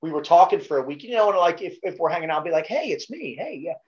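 A man's voice: fairly high pitch, big pitch swings, fast, muffled recording. A middle-aged man with a Baltimore accent, a podcast guest telling laid-back, profane stories in casual chat. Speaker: podcast guest, former Navy SEAL.

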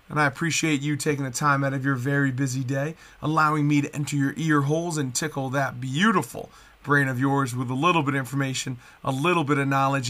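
A man's voice: on the high side, bright, forceful tone. A man in his thirties hosting his own podcast in a chatty, upbeat, rambling way, his voice stuffed up and nasal from a cold. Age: 30s. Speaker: podcast host. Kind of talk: casual solo podcast chat.

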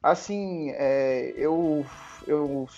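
A man from Salvador, Brazil, talking as a guest on a podcast in a relaxed, hesitant way, often restarting his sentences. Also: fairly high pitch, drawn-out words, highs cut off, faint music behind. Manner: hesitant, many restarts and fillers. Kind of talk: casual chat.

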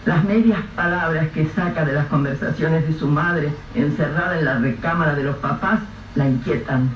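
A man narrating a radio drama in a measured, grave storytelling voice. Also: fairly high pitch. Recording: echoey, distant, dull lo-fi with faint hiss.

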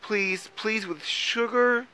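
A man's voice: sits high, slow pace, sharp tone, clear, resonant tone, pitched above the performer's own voice, full character voice. A man voicing a practical, easily exasperated but kind-hearted mole in a children's story, speaking earnestly and emphatically. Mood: pleading.

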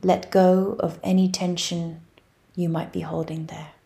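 Calm woman leading yoga nidra, slow and flat, mellow lowish voice. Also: gravelly. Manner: slow, soft and even, with long pauses. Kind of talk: guided meditation.

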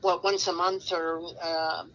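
A woman's voice: fairly low pitch, little pitch movement, a little slow, a bit bright, highs cut off. A woman co-hosting an interview podcast, asking friendly questions and giving brief reactions. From Canada. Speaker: podcast co-host. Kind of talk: casual interview chat.

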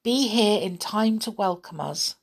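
A woman's voice: medium pitch, lively intonation, measured pace, gravelly. A woman voicing a sensible young girl in a children's story, firm but kindly.

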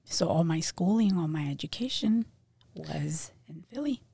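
Philly-accent lady, low gravelly soft voice, kinda breathy, dry close sound. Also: lively intonation, dry recording. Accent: Philadelphia. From Puerto Rico, raised in Philadelphia. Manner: soft-spoken, breathy, measured. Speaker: realtor guest. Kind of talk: interview conversation. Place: realty office studio.

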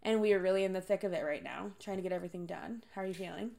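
A young woman co-hosting a podcast in casual chat, sounding stuffy and congested from allergies, chatty and quick to laugh. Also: lowish voice, creaky, dark tone. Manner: chatty, laughs often, sisterly banter. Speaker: podcast co-host.

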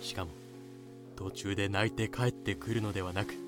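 A man's voice: fairly low pitch, flat delivery, a little fast, music in the background, close to an everyday voice. A man playing a theatrical, volatile middle-aged father and company boss in a comic anime-style voice drama. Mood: overjoyed, fussing.

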